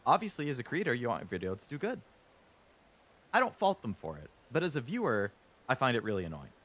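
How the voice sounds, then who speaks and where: A man streaming a game, chatting casually and rambling a bit, stuttering now and then when he talks fast. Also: medium pitch, huge pitch swings, a bit bright. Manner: rambling, chatty, lots of fillers.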